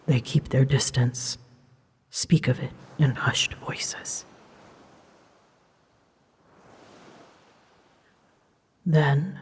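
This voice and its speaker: A woman voicing a proud, scolding female dragon who rules seas and storms, imperious and theatrical with hushed, breathy phrases, in a scripted ASMR audio drama. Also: low-pitched, in a large hall, exaggerated voice. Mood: weary, warning.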